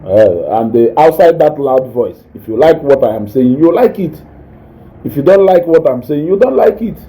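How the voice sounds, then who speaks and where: A man with a Nigerian accent and a naturally loud voice, hosting a casual live stream, reading viewer comments and mixing English with Igbo while he eats. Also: fairly high pitch, animated, normal speed, rough recording. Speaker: online show host reading viewer comments.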